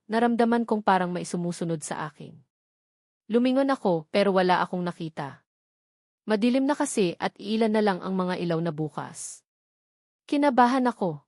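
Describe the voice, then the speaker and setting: A woman's voice: medium pitch, darkish tone, no room sound, studio quality. A woman narrating a first-person romantic drama in an earnest, emotional storytelling manner.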